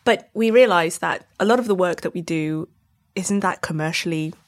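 A woman's voice: on the low side, moderate pace. A woman interview guest talking conversationally and reflectively about her creative work, often hedging as she explains.

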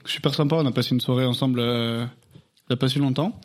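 A man's voice: medium pitch, fast talker. Laid-back man, easy laughs. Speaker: podcast co-host, singer. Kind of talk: casual drinking chat.

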